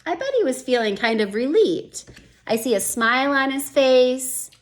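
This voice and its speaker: Woman, teacher reading aloud to children, lively rising and falling voice, gentle asides. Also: high-pitched, normal speed. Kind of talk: children's read-aloud with comments.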